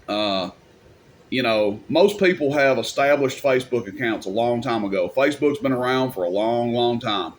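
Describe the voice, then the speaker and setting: Male, mid-pitched, casual rambling video host, plain-spoken delivery, some background noise. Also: expressive, moderate pace. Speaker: YouTube host and radio equipment seller. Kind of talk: casual off-the-cuff advice talk.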